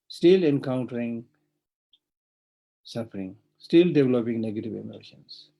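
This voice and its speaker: A man's voice: medium pitch, breathy edge, rough recording. A middle-aged man with a Tibetan accent giving a Buddhist dharma teaching, stressing points by repeating them and laughing between them. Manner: emphatic, repetitive, laughs warmly between points. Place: remote virtual teaching.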